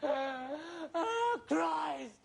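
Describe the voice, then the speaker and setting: High creaky man ranting hysterically. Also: lively intonation, extremely slow. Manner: whiny, hysterical, melodramatic rants. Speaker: comedian in a sitcom. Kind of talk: scripted sitcom comedy. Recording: noisy, with loud studio audience laughter.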